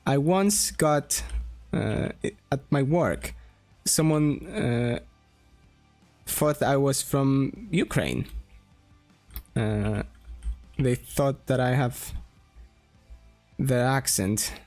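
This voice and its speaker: A man from Poland with a Polish accent, talking casually as he draws on a stream, slowly thinking aloud with pauses and restarts. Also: mid-range voice, darkish tone, faint music behind. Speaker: streamer and game developer. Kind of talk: casual drawing stream talk.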